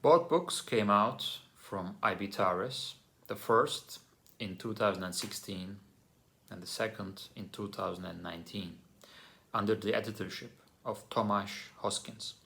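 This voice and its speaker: Male author from Turkey, lowish gravelly voice, measured prepared reading. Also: sharp tone. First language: Turkish.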